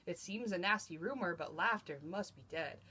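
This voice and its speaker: A woman's voice: lowish voice, animated, normal speed, sharp tone, husky, exaggerated voice. Loyal storybook sidekick, played by a woman: reassuring, insistent, emphatic and encouraging.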